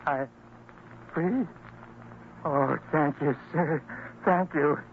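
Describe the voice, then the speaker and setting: A stammering, cowering thief, tinny radio. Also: male voice, a little high, very slow. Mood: relieved, grateful. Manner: stammering, servile. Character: cowering, pleading male pickpocket, meek and apologetic. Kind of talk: old-time radio drama acting. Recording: tinny, noisy old radio recording.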